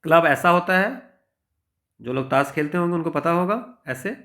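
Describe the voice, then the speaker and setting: A man's voice: fairly high pitch, moderate pace, vocal fry, bright, forceful tone. A man with an Indian accent teaching informally as a maths tutor, explaining problems step by step and often checking in with the listener. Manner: explanatory, with frequent check-in tags. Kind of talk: informal exam-prep lesson, working through problems step by step.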